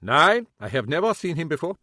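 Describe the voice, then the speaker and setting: A man voicing a gruff old German cobbler with broken English and a German accent. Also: on the high side, very animated, brisk, bright, forceful tone, no room sound, clean studio sound, pitched above the performer's own voice. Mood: prickly. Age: older. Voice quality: clipped broken English, prickly.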